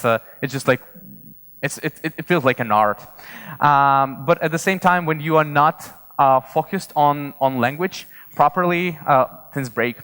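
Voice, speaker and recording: A man giving an informal, explanatory conference presentation, speaking haltingly with frequent hesitations and repeated words. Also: on the high side, a bit bright, clean tone. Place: large indoor conference hall. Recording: reverberant hall.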